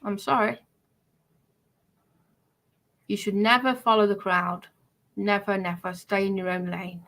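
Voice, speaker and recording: Bright-voiced, blunt woman arguing. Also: mid-range voice, fairly even intonation, unhurried, gravelly. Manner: blunt, defensive, emphatic. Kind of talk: casual livestream chat, arguing.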